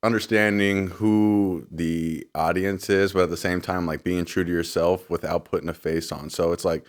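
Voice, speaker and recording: A man's voice: sits low, fairly even intonation, moderate pace. A young man co-hosting a faith podcast, talking easily and thinking aloud with frequent agreeing yeahs. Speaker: podcast co-host. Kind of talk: casual chat.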